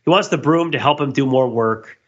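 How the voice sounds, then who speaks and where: A man in casual, joking analytical podcast chat, animated and riffing as he goes. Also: mid-range voice, fairly quick, breathy edge. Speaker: podcast panelist. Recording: dull, slightly lo-fi audio.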